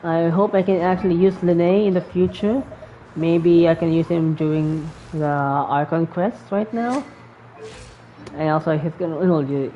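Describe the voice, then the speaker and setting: Casting: female game streamer, Filipino accent, lowish mellow voice, informal rambling commentary. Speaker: gaming video host. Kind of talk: casual game reaction commentary. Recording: muffled mic with faint noise. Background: faint noise.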